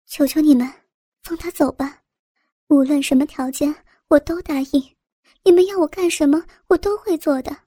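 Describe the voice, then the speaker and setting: Lady doing a young woman begging quick, high voice, dry close mic. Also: mellow, dry recording, lightly acted. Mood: urgent pleading. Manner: urgent, pleading. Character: young woman offering herself to save another.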